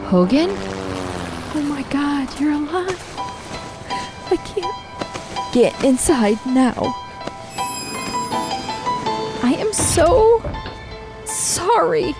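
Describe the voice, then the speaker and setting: A woman's voice: sits high, sing-song, very slow, long pauses, music in the background, full character voice. An American actress playing a tough, guarded female leader of a neighborhood militia in a naturalistic post-apocalyptic radio drama. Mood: emotional.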